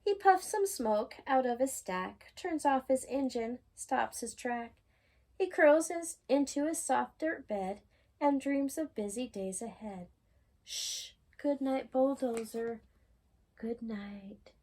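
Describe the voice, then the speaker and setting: Slow, mellow woman reading a bedtime story. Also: high-pitched, animated. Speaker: children's storytime reader. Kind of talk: read-aloud children's bedtime picture book narration.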